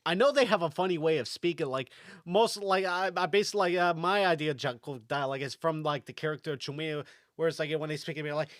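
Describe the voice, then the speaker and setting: A man's voice: on the high side, fast talker, no room sound, studio quality. A young man from Kosovo chatting casually on a podcast, rambling with lots of fillers and restarts. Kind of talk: casual chat.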